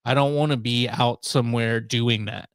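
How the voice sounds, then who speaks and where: A man's voice: medium pitch, fairly even intonation, normal speed, clean tone, dry recording. Rambling, joking man in dry sound. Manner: crude joking, rambling, incredulous. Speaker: radio show host. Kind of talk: casual comic chat.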